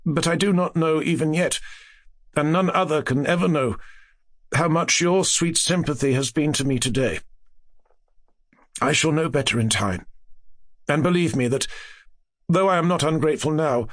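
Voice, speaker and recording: A man's voice: a little high, moderate pace, pauses often, close to an everyday voice. A man voicing a humble, earnest young lord in grief, as dialogue in a gothic audiobook. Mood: grateful, fervent.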